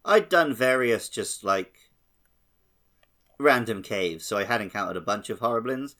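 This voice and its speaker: A man with a fairly low voice co-hosts a gaming podcast. He talks in a casual, conversational style. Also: animated, normal speed, fairly bright tone. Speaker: podcast co-host.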